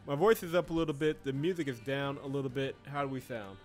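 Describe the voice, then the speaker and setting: An easygoing man chats to his viewers through a desk mic while he plays. His pitch sits in the middle for a man, and he breaks into laughs often. A soft game soundtrack runs quietly underneath. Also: quick pace. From Georgia, US. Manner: chatty and animated, laughs often, reads game text aloud. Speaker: streamer. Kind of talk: casual game stream chat. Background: game soundtrack music.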